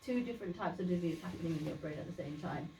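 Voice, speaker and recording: A woman from Bangladesh whose first language is Sylheti talks to a community group in a chatty, plain way, restarting words and filling pauses as she explains. Her voice sits fairly low. She is heard from a distance in an echoey meeting room with faint background noise. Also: expressive, warm tone. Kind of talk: informal awareness talk to a group.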